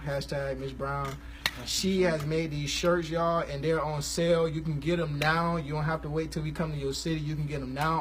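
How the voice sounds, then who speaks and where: A man, hosting a casual live stream about gospel music, talking in a relaxed, rambling, slangy way. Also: fairly high pitch, a little hiss or hum. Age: 20s.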